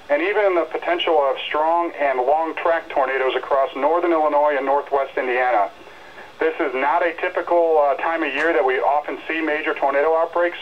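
Higher-pitched male meteorologist on muffled radio. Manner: earnest, explanatory, cautioning. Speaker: meteorologist giving a weather briefing. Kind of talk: live, semi-scripted severe weather briefing. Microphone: radio speaker re-recorded by a camera in a room. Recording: muffled weather radio broadcast played through speaker.

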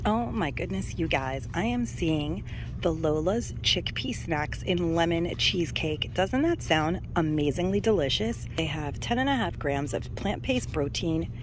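A woman's voice: fairly low pitch, lively intonation, unhurried, background noise. A woman in her forties, chatting enthusiastically as she films herself shopping in a store on her phone. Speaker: shopping vlogger. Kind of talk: casual shop-with-me narration. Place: inside a discount store, walking the aisles. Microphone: handheld phone camera.

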